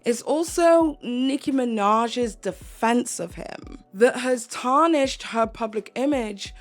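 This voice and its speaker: A young woman, hoarse, earnestly delivering an opinion commentary vlog and repeating phrases for emphasis. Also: a little high, takes it slowly. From United Kingdom. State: hoarse, voice going. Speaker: commentary YouTuber.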